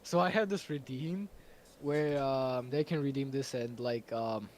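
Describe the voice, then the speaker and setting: A man's voice: medium pitch, measured pace, a little mellow, slight background noise. A man whose first language is Georgian, hosting a stream in casual chat, laughing often and rambling with fillers. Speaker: stream host.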